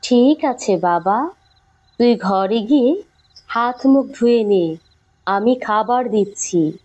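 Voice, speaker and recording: A woman voicing an affectionate elderly village aunt in a horror cartoon, speaking tenderly and motherly with drawn-out words. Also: fairly high pitch, expressive, a little mellow, dull audio. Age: older.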